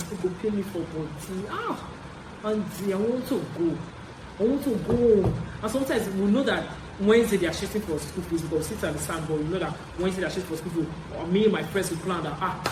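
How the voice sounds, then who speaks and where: A young adult man with a Nigerian accent tells stories casually, in an animated way, repeating phrases for emphasis as a vlogger. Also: high voice. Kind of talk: casual story-time chat. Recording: roomy echo, clear background noise.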